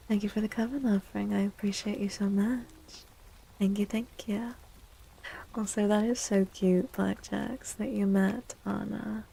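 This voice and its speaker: A soft-spoken woman hosting an ASMR livestream and reading tarot, gentle and unhurried, often dropping into a breathy half-whisper. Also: mid-range voice, low-quality recording. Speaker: livestream host and tarot reader.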